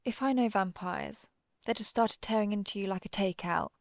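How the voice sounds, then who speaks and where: A centuries-old vampire huntress, acted by a woman, questions the listener in a roleplay audio drama. Her mid-pitched voice has a strong creak and sounds dry, with no room around it. She is deliberate, cool and sardonic, with menace under the words. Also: no echo, natural voice. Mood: knowing, sardonic. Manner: deliberate, interrogating, dryly threatening.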